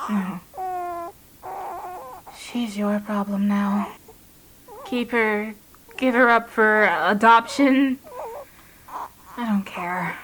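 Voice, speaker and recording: A woman's voice: mid-range voice, background noise. A woman acting in an audio drama as a bitter, anguished young wife who wants out of motherhood. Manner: bitter, emotional.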